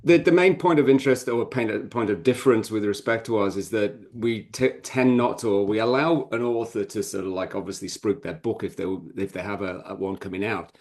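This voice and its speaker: Male podcast co-host and author, lower register, conversational and meandering, candid with a dry joking edge. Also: a little fast, few pauses, darkish tone. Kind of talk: casual interview talk.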